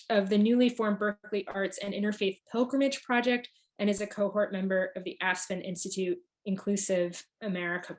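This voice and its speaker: Woman, medium pitch, panel co-host, formal introductions, event speaking. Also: rough recording. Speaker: artist co-hosting a panel event.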